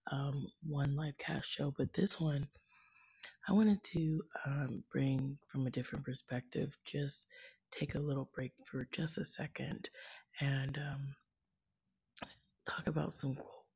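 Woman, livestream host; naturally raspy, breathy, soft-spoken musing. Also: low voice. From California. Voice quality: raspy, husky. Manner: soft, half-whispered musing, frequent ums. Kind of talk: casual live-stream chat.

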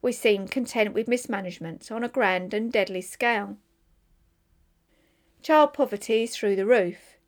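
A woman's voice: mid-range voice, moderate pace. A woman volunteer reader reading a newspaper opinion column aloud for listeners.

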